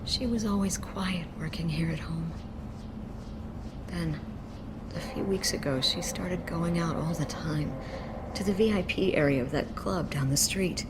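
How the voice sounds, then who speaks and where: A grieving mother, played by an actress in a game drama. Her voice sits a little low and is soft and anguished, with halting delivery. Clear ambient noise runs behind her. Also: lightly acted. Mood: sorrowful. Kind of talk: dramatic video game acting.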